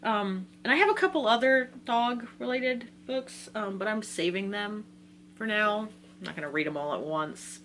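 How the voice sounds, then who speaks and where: An American woman from Virginia talking casually in an excitable, rambling, slangy way, like a book vlogger. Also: mid-range voice, moderate pace, slight background noise. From Virginia, United States. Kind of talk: casual chatty video talk.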